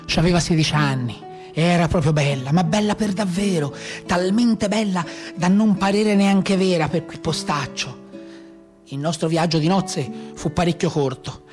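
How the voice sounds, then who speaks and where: A man's voice: sits high, normal speed, a little mellow, soft background music, natural voice. An actor with a Tuscan accent playing a brigand and former cowherd, bitterly telling his life in colloquial radio theatre style. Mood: wistful storytelling. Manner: colloquial folk storytelling. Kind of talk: live radio theatre monologue.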